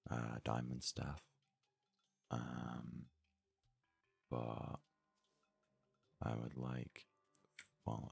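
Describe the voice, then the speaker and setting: A man giving hushed, unhurried ASMR gaming commentary, murmuring as if thinking aloud in a husky, breathy voice. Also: very deep voice, no room sound. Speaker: let's play host.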